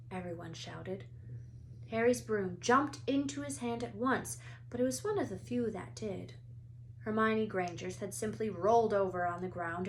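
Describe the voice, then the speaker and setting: A woman narrates a children's storybook in an expressive read-aloud style, as a teacher would. Her voice sits at an average pitch. The recording carries a faint layer of background noise. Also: low-quality recording.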